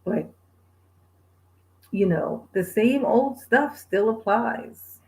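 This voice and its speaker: A woman in her forties hosting a livestream, chatting casually with viewers, laid-back and quick to laugh. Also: fairly high pitch, expressive, darkish tone. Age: 40s. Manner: laid-back, laughs often, sings bits. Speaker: livestream host. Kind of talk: casual chat reading viewer comments.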